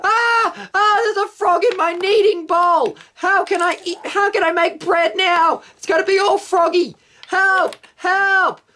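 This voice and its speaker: Shrieking panicked villager. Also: male voice, extremely high pitch, fairly even intonation, measured pace, pushed and bright, pitched above the performer's own voice, full character voice. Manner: shrieking, frantic. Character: panicking Egyptian man overrun by frogs.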